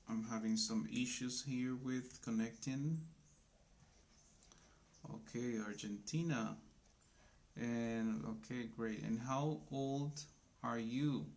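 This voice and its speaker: Slow-talking gravelly Colombian-born teacher. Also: male voice, mid-range voice. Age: 30s. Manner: slow, simple speech for beginners; chatty, greets viewers by name. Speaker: English teacher. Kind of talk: live online English lesson.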